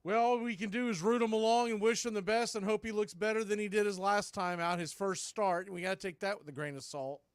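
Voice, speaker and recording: Male play-by-play host, fairly high voice, smooth and dry, conversational fan commentary. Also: steady tone, fast talker, few pauses, no room sound.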